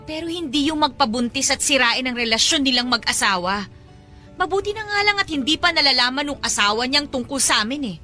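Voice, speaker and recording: An actress playing a hurt, defiant young mistress in an emotional Tagalog audio drama, pleading and confrontational. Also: female voice, sits high, expressive, brisk, pushed and bright, faint music behind. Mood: upset, protesting.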